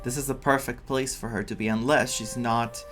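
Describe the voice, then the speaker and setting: A man with a medium-pitched voice gives casual commentary on a game playthrough. He thinks aloud in a musing, halting way, with music faintly behind him. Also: steady tone, fairly bright tone. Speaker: game streamer. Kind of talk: casual playthrough commentary, some reading of dialogue.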